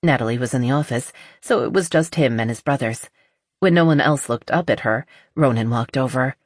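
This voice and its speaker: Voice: mid-range voice, fairly quick, dry recording. Dry, close audiobook narrator. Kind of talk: scripted romance fiction narration.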